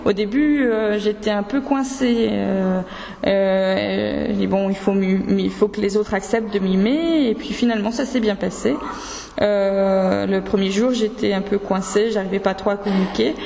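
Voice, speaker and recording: Hesitant French-speaking woman with a gravelly voice. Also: mid-range voice, steady tone, moderate pace, warm tone, a little hiss or hum. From France. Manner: hesitant, many fillers and restarts. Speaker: project participant. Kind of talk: interview answers. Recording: echoey hall with faint music.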